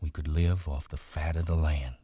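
A man's voice: very deep voice, level tone, moderate pace, dark tone, husky, no echo, studio quality. A man voicing a big, simple-minded farmhand who talks in an eager, begging, childlike drawl.

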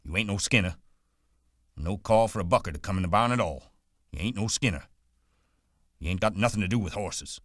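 A man's voice: fairly low pitch, brisk, pauses often, fairly bright tone, no room sound, clean studio sound, voice pushed up. A man voicing a proud, bitter, aloof stable hand who speaks sharply and defensively. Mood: scornful.

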